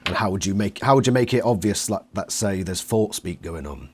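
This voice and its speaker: A man's voice: deep voice, expressive, brisk, slight background noise. A man asking questions as an interviewer in a casual conversation.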